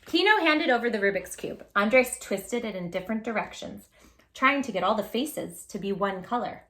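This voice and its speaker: A woman's voice: medium pitch, expressive, moderate pace, fairly bright tone. A woman hosting a children's read-aloud, chatting to young listeners in an encouraging way and addressing them directly with questions. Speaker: host reading picture books aloud to children.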